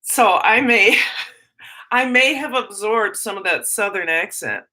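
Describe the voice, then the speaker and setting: Chatty Minnesotan woman, bright voice. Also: mid-range voice, animated, slow. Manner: chatty, rambling, self-interrupting. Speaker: teacher and livestream host. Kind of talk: casual livestream chat, reading viewer comments.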